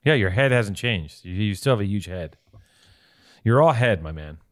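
A man's voice: fairly low pitch, expressive, normal speed. A man co-hosting a podcast in casual comedic banter, profane and teasing, often exclaiming. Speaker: podcast co-host.

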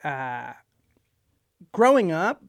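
Male podcast guest from Puerto Rico, gravelly fry on a raised pitch, earnest and informal, close dry sound. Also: big pitch swings, very slow, no echo. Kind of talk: casual conversational interview.

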